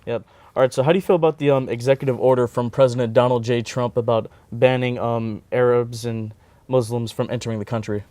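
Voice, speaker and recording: A man's voice: mid-range voice, fairly even intonation, fairly quick, few pauses, dark tone, dry recording. Upbeat young man hosting a show. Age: young adult. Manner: upbeat, jokey catchphrases. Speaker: host. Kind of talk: college TV interview hosting. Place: small TV studio set.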